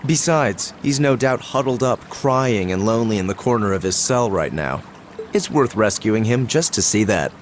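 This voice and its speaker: Water runs audibly behind a man acting as a haughty young aristocrat from a video game. His voice sits low, and he speaks in formal, clipped phrases, defiant and dryly sardonic. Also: expressive, moderate pace, close to an everyday voice. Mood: dryly scornful. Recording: clear water noise behind voice. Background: running water ambience.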